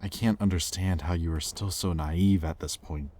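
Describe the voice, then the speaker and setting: A man playing a possessive, conflicted demon in an intimate audio roleplay, with halting, sardonic delivery and secret tenderness. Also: sits low, fairly even intonation, dark tone. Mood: pleading, regretful. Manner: intimate, halting, sardonic, with sighs and muttered curses. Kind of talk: dramatic audio roleplay addressed to the listener.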